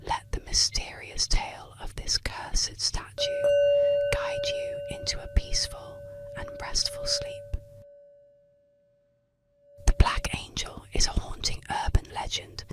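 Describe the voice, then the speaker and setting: Woman whispering spooky bedtime stories asmr style, right up on the mic, slow and soothing. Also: very high voice, monotone. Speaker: ASMR storyteller. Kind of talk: ASMR whispered scripted narration of eerie legends. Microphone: close-up microphone.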